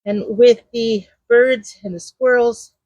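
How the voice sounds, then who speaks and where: A chatty woman with a loud, slightly high voice talks her way through a gardening job, slow and unhurried. She is outdoors, and her mic hangs on a trellis near her. The sound is dry and close, with no room echo. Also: fairly even intonation. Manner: chatty, rambling, searches for words; talks while digging in the garden. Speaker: gardening vlogger. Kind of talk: casual how-to narration while working. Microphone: mic clipped to a garden trellis.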